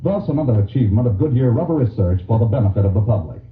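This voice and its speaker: Man, mid pitch, lively intonation, wartime radio ad announcer, phone-like tinny sound. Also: rapid-fire. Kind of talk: 1940s radio advertising read. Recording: tinny, echoey 1940s radio recording.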